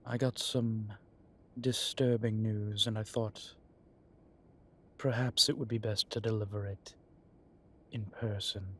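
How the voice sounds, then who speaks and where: Genteel, secretive age-old male vampire, performed by a man for audio roleplay; deepish voice, very slow, deliberate phrasing. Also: little pitch movement, sharp tone, a little hiss or hum. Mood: grave, polite. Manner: measured, formal, genteel phrasing with deliberate pauses. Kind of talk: scripted audio roleplay addressed to the listener.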